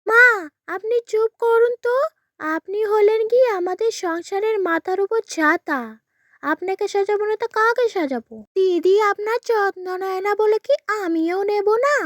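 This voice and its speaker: Woman with a super high sweet wheedling voice for a cartoon, dry, soft music under. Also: measured pace, no room sound. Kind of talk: cartoon storybook voice acting.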